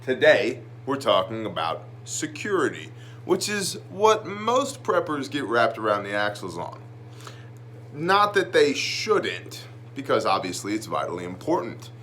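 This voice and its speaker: A man's voice: medium pitch, huge pitch swings, unhurried, a bit bright, a little hiss or hum. A man hosting an informal video class, talking in a blunt, slangy, emphatic way with a slightly phlegmy voice. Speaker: host of prepper video class. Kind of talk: informal lesson with whiteboard, to camera.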